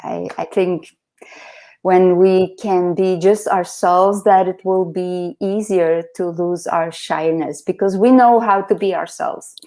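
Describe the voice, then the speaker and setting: A soft-spoken woman hosting a casual interview over a video call, earnest and reflective, laughing often. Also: on the low side, fairly even intonation, slow pace. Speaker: host.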